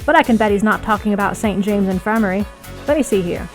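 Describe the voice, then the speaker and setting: A woman's voice: medium pitch, quick pace, faint music behind, natural voice. A woman voicing a brash, smitten male rebel radio broadcaster in an audiobook, with theatrical, emphatic delivery. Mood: boastful.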